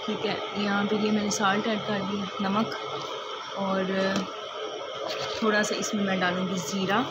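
A woman's voice: medium pitch, little pitch movement, measured pace. Chatty woman in a noisy kitchen. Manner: chatty, step-by-step, leans on the filler "jo hai". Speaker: cooking vlogger. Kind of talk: casual cooking demonstration. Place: home kitchen, indoors. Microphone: phone held over the stove. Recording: noisy phone recording in a kitchen. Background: loud kitchen noise, frying and running water.

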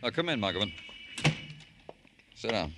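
An actor playing a terse, world-weary police detective in a radio crime drama, asking dry, clipped questions. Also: male voice, fairly low pitch, big pitch swings, moderate pace, over loud music. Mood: musing, weary. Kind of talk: old-time radio crime drama acting. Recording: hissy old-time radio recording.

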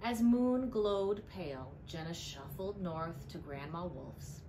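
A middle-aged woman reads a children's story aloud with very lively intonation. Her pace is slow and deliberate, with emphasis on the storytelling. Clear background noise of the room runs behind her voice. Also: lowish voice. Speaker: children's librarian reading a picture book. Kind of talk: expressive storybook read-aloud. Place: indoor library room. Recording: clearly noisy room ambience.

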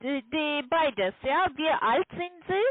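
A woman who is not a native German speaker, talking formally and haltingly as a call centre agent on the phone. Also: high voice, lively intonation, no room sound. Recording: narrow, tinny phone line.

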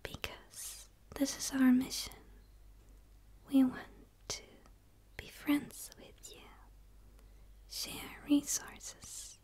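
A young woman playing a gentle, curious alien in a whispered ASMR roleplay, speaking in a soothing, hushed way with pauses, close to the microphone. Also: sits high, level tone. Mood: hopeful, friendly. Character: gentle, curious dark female forest alien.

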